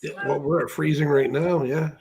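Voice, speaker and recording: Gravelly wisecracking man in casual chat. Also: fairly high pitch, fairly even intonation, normal speed, a crowd in the background. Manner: dry, wisecracking humor. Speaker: livestream participant.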